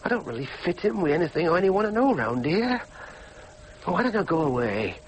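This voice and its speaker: A high, very changeable young male voice with a Yorkshire accent. He is a sullen working-class dreamer in a drama, argumentative and full of self-doubt, over faint background noise. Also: sing-song, brisk, rough recording. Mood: brooding, wistful. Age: young adult. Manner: argumentative, brooding. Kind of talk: naturalistic drama acting with monologue.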